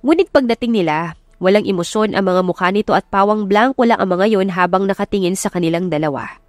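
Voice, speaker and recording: A woman's voice: mid-range voice, fairly quick, no room sound, studio quality. A woman narrating a scripted romance story in a dramatic audiobook style. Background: music.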